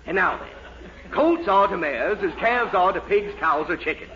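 An actor playing a sarcastic, exasperated college professor in a fussy, theatrical 1940s radio comedy style. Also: male voice, high voice, expressive, moderate pace. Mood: strained patience. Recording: dull, noisy 1940s radio recording, audience.

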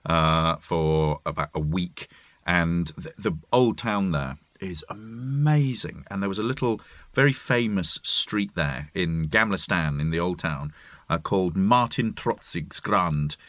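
Man, middle-aged, lowish voice, keen storyteller in casual chat, dry sound. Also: unhurried, fairly bright tone, no room sound. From near York, England. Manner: eager agreeing, descriptive storyteller.

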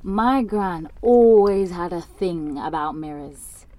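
A woman's voice: lowish voice, slow pace. A woman playing an anxious, wry young woman in an audio drama monologue, speaking candidly and conversationally in a London British accent. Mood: reflective, uneasy. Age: young adult. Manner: candid, self-mocking, sweary, animated.

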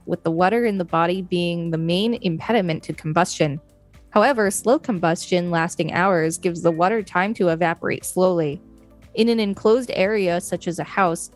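A woman co-hosting a podcast, reading an article aloud and adding casual asides. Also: fairly low pitch. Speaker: podcast co-host.

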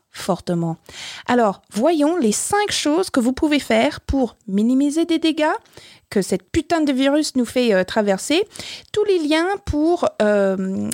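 A woman's voice: sits high, a little mellow, no echo, clean studio sound. A woman hosting a business podcast, speaking French as a non-native with an English-speaking accent, upbeat and chatty. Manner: upbeat, chatty, slightly scripted. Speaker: podcast host, business founder. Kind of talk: podcast talk and interview.

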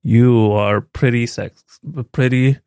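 A man co-hosting a podcast with a soft, playful way of talking in casual chat. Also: mid-range voice, steady tone, slow pace, clear, resonant tone, no echo, studio quality. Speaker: podcast co-host.

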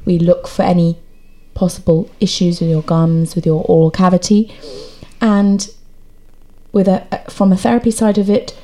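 A woman guest, a dental therapist, explaining her work with eagerness in quick bursts in a clinic room. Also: fairly low pitch, flat delivery, dark tone. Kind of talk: conversational explanation.

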